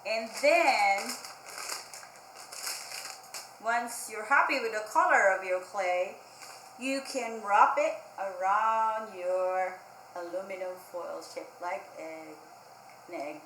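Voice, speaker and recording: A woman's voice: mid-range voice, takes it slowly, pauses often, a bit bright. A woman hosting a children's library storytime, explaining a craft in simple, repeated phrases and speaking directly to young children. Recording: roomy echo, faint background noise.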